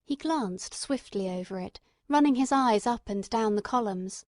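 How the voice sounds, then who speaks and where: A woman reading scripted literary narration as an audiobook narrator, in a measured, deliberate manner. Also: on the high side, dry recording, clean studio sound.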